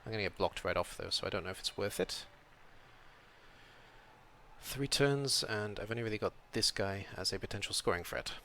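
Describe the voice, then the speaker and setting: A young British man from Wales with a slight European tilt to his accent, chatting casually while he streams a game, reading chat and thinking out loud. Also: on the low side, moderate pace, long pauses, a little hiss or hum. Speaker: streamer playing a video game. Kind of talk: casual live-stream commentary.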